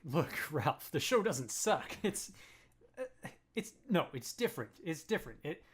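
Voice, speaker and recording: A woman speaks in a defensive, flustered way, backpedalling and stammering. Her pitch moves a lot. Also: big pitch swings, unhurried, over loud music.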